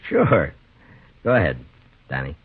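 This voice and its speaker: An actor playing a steady, weary American frontier marshal, firm, patient and dry, in a 1950s radio western. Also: male voice, rumbling low, unhurried, slightly rough, exaggerated voice. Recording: dull, muffled 1950s radio recording.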